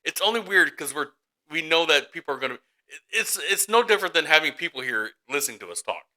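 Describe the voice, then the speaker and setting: A man's voice: a little high, lively intonation, quick pace, bright, forceful tone. A man co-hosting a casual podcast with his brother, telling rambling stories and laughing often. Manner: rambling storyteller, laughs a lot, acts out voices in anecdotes. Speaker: podcast co-host. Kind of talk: casual chat between brothers.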